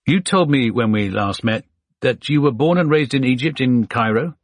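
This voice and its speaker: A man's voice: medium pitch, expressive, moderate pace, no echo, lightly acted. A man voicing a male newspaper journalist who is earnest and polite, asking for help directly.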